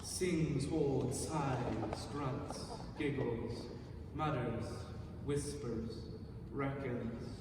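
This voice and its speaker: A man giving a measured, incantatory live reading of a lyrical, poetic monologue. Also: mid-range voice, steady tone. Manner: measured, deliberate, incantatory recitation with repeated phrases. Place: large reverberant museum space, live, distant mic. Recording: distant, noisy, very echoey museum hall.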